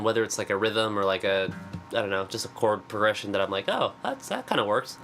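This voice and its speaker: A man's voice: on the low side, bright, forceful tone. A congested man with a cold, chatting casually and self-deprecatingly about songwriting with lots of fillers. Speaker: singer-songwriter. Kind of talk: casual vlog talk. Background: acoustic guitar.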